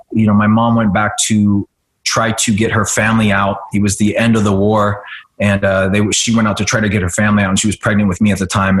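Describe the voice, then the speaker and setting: A man in his forties from the United States, a jiu-jitsu guest explaining things in a relaxed way with frequent fillers. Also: on the low side. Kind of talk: casual podcast interview. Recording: roomy echo.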